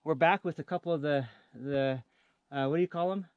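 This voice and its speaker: A man casually narrating an outdoor vlog in a halting, easygoing way. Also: fairly high pitch, clear, resonant tone, lo-fi sound, soft background music. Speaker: vlog host. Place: outdoors in mountain country.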